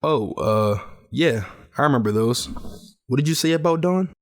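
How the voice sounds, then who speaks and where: A man's voice: mid-range voice, lively intonation, normal speed, close to an everyday voice. A man playing a weary, reflective young betting clerk in a post-apocalyptic audio drama, speaking in a subdued, polite way. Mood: uneasy. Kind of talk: audio drama narration and dialogue. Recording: music under the voice. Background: music.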